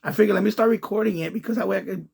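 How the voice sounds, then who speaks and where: A man's voice: high-pitched, rapid-fire, vocal fry, a little mellow, breathy edge. A man giving casual, rambling running commentary as an amateur radio video host, repeating himself as he explains.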